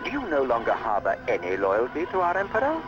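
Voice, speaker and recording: A haughty Imperial governor for a video game, cold and sneering. He gloats in slow, pompous, formal phrases. The audio is dull and lo-fi, with music clearly playing behind him. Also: medium pitch, huge pitch swings. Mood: cold contempt. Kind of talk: video game cutscene villain acting.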